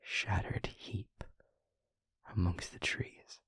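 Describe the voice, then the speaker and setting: A man playing a wounded, sorrowful demon in an intimate audio roleplay, speaking slowly and solemnly in a husky, breathy near-whisper with halting short phrases. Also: fairly bright tone, dry recording. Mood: solemn, pained. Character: wounded, haunted male demon confessing with tender sorrow.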